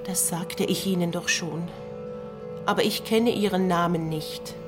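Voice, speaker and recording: A woman's voice: fairly low pitch, fairly even intonation, a little slow, close to an everyday voice. A woman playing a frightened but stubborn greengrocer under interrogation in a radio play. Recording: music under the voice.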